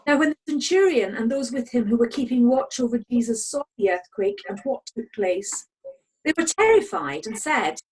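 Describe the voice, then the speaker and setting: A woman with a husky voice, narrating a scripted dramatic scripture reading over a video call. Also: a little high, animated, moderate pace, rough recording. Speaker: narrator in a congregation's Passion reading.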